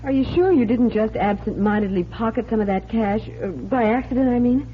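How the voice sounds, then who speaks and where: A woman playing an excitable, brash, slangy assistant to a detective in a 1940s radio drama. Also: fairly high pitch, a little fast, lightly acted. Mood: skeptical, brash. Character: excitable, wisecracking female assistant to a magician detective. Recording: muffled, noisy 1940s radio recording.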